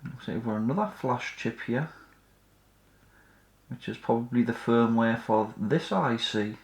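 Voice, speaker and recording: A man's voice: mid-range voice, unhurried. Man thinking aloud in an echoey room. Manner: tentative, thinking aloud while working. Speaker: host of an electronics repair channel. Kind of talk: casual hands-on repair commentary. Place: indoors at a repair workbench. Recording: roomy, echoey indoor sound.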